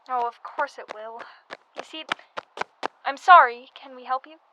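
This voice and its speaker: A woman's voice: high-pitched, measured pace, background noise. A young woman playing a grim, matter-of-fact pandemic worker in a radio drama. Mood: grim, weary. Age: young adult. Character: grim, weary woman tending flu dead in 1918.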